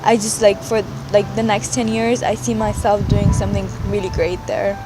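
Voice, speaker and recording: A young woman in her late teens answers interview questions with an earnest, casual delivery. She fills her sentences with "like" and restarts them often, and her pitch sits in an ordinary middle range. Music plays clearly behind her voice. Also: little pitch movement, normal speed, low-quality recording. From Afghanistan. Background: background music.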